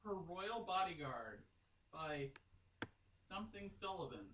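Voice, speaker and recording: A woman's voice: on the low side, very animated, unhurried, far from the mic, muffled recording. A woman chatting casually with a friend in a silly, self-deprecating way. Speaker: guest reader. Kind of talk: casual banter between friends. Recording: noisy, roomy, off-mic home recording.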